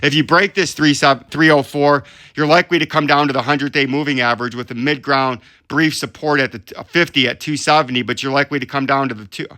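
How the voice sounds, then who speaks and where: Male host for chart commentary, mid-pitched, explanatory, frequently tags points with a questioning check-in. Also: fairly even intonation, fast, sharp tone. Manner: explanatory, often checks in with "right?". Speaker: host of a crypto chart analysis channel. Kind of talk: live technical chart commentary.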